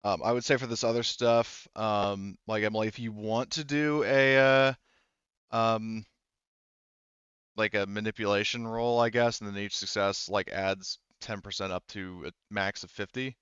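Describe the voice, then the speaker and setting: A man's voice: lowish voice, fairly even intonation, normal speed, a bit bright, smooth. A man speaking casually as game master of a tabletop role-playing game, hesitant and thinking aloud. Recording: dull, roomy lo-fi voice recording.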